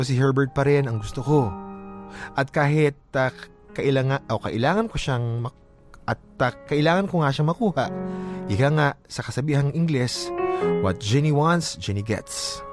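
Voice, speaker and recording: Man telling a story over clear music. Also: medium pitch. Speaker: story narrator. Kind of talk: dramatised first-person storytelling over music. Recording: prominent music bed under voice.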